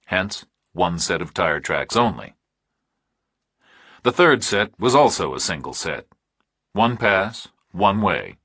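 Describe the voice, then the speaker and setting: A man reading a scripted thriller audiobook with measured, clipped, dramatic phrasing. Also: low-pitched, big pitch swings, sharp tone, husky, no echo, clean studio sound. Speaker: audiobook narrator.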